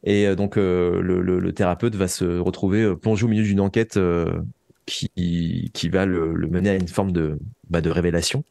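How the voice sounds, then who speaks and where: Low-pitched Frenchman, halting and searching. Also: flat delivery, gravelly, warm tone, no echo. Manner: hesitant, halting, often repeating words while searching for phrasing. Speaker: interview guest, writer of audio fiction. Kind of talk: casual, explanatory interview talk.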